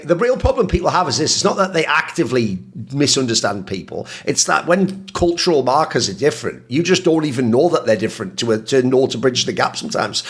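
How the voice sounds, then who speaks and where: A man hosting a casual interview, talking quickly and animatedly, swearing casually and calling his guest "bro". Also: a little high, fairly bright tone. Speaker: interview host.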